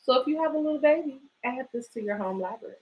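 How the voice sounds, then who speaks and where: A woman's voice: high-pitched, animated, fast, muffled recording. Woman hosting children's storytime. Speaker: host of a children's bedtime story series, book organisation director. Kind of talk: reading picture books aloud to families, with chatty commentary.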